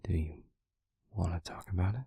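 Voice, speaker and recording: A man playing a tender, devoted demon lover in an intimate audio roleplay, speaking gently and hesitantly in a husky near-whisper. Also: sits low, no room sound, heavily acted. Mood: tender, concerned. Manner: gentle, hesitant, slow and deliberate.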